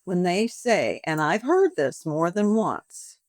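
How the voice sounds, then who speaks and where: A lively woman in her seventies talks in a somewhat low voice, chatting freely as an interview guest. The sound is dry and close, with no room around her. She slips into the voices of her customers as she tells her stories. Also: slow pace, dry recording. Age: 70s. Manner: chatty, animated, acts out customer dialogues. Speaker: boutique owner and guest. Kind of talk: conversational interview.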